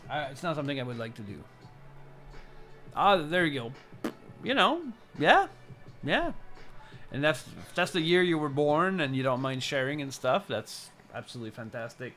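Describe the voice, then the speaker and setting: A man in his forties chatting casually as a live streamer, halting and repeating words as he rambles. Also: fairly high pitch, expressive, sharp tone, faint music behind. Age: 40s. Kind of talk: casual chat with stream viewers.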